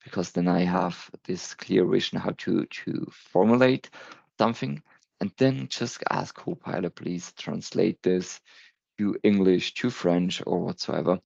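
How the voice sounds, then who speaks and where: A man's voice: sits low, unhurried, vocal fry, a little mellow, no echo, highs cut off. A man in his thirties with a German accent, giving a recorded talk, earnest and sometimes stumbling over words. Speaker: presenter.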